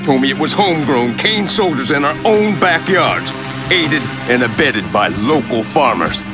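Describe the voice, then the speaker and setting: A man playing a gruff, suspicious shopkeeper in a sci-fi drama, talking in a blunt, folksy way. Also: medium pitch, expressive, unhurried, natural voice. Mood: bitter, hostile. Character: gruff, bitter male shopkeeper, suspicious of outsiders. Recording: music under the dialogue.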